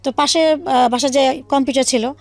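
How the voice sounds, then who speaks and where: A woman's voice: high voice, fairly even intonation, normal speed, darkish tone. A woman telling her own life story as a radio interview guest, recounting it in detail and acting out remembered dialogue in her own voice. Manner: recounts in detail, quoting past conversations as dialogue.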